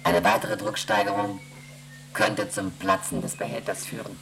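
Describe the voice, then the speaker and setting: A man voicing an emotionless onboard computer in a radio play, reading out readings and rules in a flat, mechanical way. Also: on the low side, moderate pace, creaky, over loud music. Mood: flat reporting. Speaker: ship's computer.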